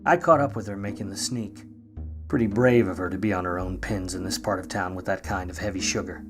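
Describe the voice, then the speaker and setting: An actor playing a wry, wisecracking private eye in a 1950s noir radio play, narrating and trading slangy lines. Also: male voice, deep voice, fairly quick, music in the background, lightly acted. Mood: wry, brooding. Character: wry, grudging hardboiled male private eye.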